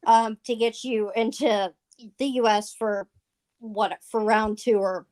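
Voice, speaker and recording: A woman's voice: on the high side, fairly even intonation, slow, a little mellow. A woman guest in a casual livestream chat, talking in an earnest, rambling way full of fillers.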